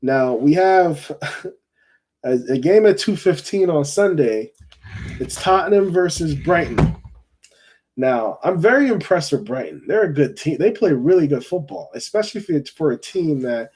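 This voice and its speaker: A man's voice: fairly high pitch, expressive, unhurried, a little mellow, breathy edge. A man from Jamaica hosting a fan call-in football show, talking in a chatty, rambling way and often repeating himself. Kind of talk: casual football chat.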